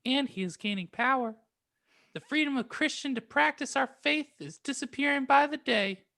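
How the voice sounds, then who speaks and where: A woman's voice: sits high, moderate pace, gravelly, fairly bright tone. A woman voicing an earnest, worried young schoolgirl in an amateur fan fiction reading.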